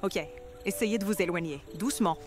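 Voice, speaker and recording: A woman playing a villain boss's exasperated former assistant in a comedy audio drama, dry, brisk and deadpan. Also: mid-range voice, loud music behind the voice, lightly acted.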